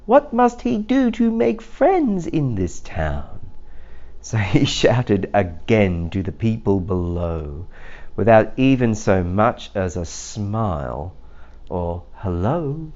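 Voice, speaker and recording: A low-voiced man reads a children's story aloud with theatrical playfulness. He lingers over the phrases and varies his pitch freely. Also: sing-song, takes it slowly, a little mellow, highs cut off. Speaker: storybook reader.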